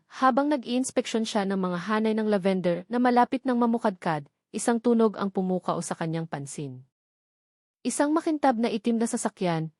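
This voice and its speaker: Casting: female storyteller, mid-pitched, for dramatic scripted fiction, close dry sound. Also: a little mellow, no room sound.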